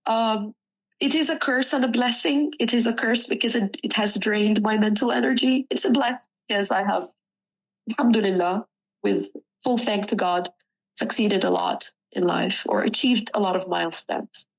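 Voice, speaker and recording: A woman's voice: mid-range voice, normal speed, gravelly, rough recording. Woman, from Sudan, native Arabic speaker, twenties, reflective, earnest, hesitant fillers. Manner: earnest, reflective, with frequent ums and self-corrections. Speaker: student interviewee. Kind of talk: personal interview.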